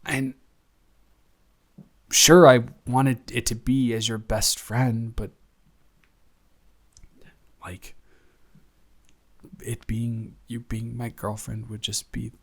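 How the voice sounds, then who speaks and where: Man performing as young male roommate, devoted, flustered, affectionate teasing, halting rambling speech, mid-range pitch, intimate audio roleplay. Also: little pitch movement. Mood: tender, hopeful. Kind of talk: improvised intimate boyfriend roleplay, conversational.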